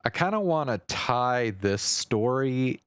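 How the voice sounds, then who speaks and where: A man's voice: medium pitch, animated, takes it slowly, clear, resonant tone, dry recording. A man talking casually and unscripted as a livestream host, thinking aloud hesitantly and swearing now and then.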